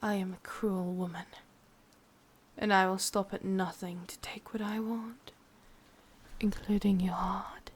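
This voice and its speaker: A woman playing a sadistic, possessive witch with an English accent in a whispered ASMR roleplay, breathy, teasing and menacingly sweet. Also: mid-range voice, flat delivery, measured pace, long pauses. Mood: cruel, possessive.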